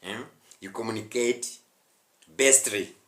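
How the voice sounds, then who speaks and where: A man's voice: fairly low pitch, slow pace, echoey room. A young Rwandan man with a Rwandan accent teaching a spoken English lesson, emphatic and often checking in with his listeners. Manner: emphatic, checks in with "huh?" and "hmm?".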